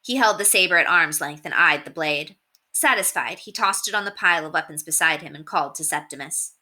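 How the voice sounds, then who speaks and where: Very bright-voiced woman reading a story. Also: low-pitched, a little fast. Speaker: read-aloud narrator. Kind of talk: expressive storybook narration.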